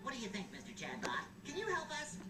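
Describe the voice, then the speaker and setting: A woman's voice: fairly high pitch, huge pitch swings, quick pace, distant mic. A woman voicing a spirited, sassy cartoon game hero in a punchy, emphatic way. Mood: urgent. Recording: roomy game audio over music.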